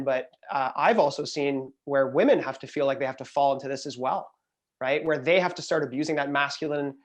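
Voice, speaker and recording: A man speaking as a panelist over a video call, earnest and measured, often checking in with "right?". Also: fairly high pitch. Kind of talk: panel discussion.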